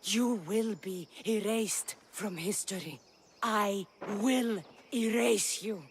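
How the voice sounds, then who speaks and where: A woman's voice: medium pitch, slow pace, a little hiss or hum. A woman playing a fierce, vengeful female mercenary with an intense, resolute manner in a video game. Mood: furious, vengeful. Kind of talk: video game cutscene acting.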